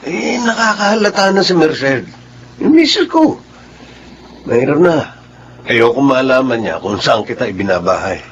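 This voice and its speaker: An actor plays a sweet-talking, secretive married Filipino man in a drama. His pitch moves expressively, and the recording is slightly muffled. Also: sing-song, normal speed, pauses often, slight background noise. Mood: evasive, coaxing. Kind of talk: dramatized Filipino radio-style drama acting.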